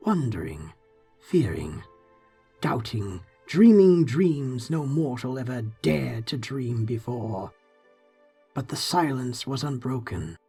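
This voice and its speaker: A narrator giving a brooding, theatrical reading of gothic poetry, moving between hushed and forceful delivery. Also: medium pitch, husky. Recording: faint music behind. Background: faint music.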